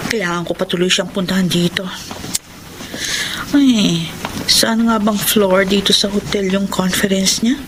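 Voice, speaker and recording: A woman's voice: mid-range voice, steady tone, a little slow, long pauses, background noise, close to an everyday voice. An actress playing a suspicious, hurt wife in a melodramatic Tagalog radio drama, speaking her thoughts aloud. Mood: nervous, muttering. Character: distraught betrayed wife, suspicious then furious.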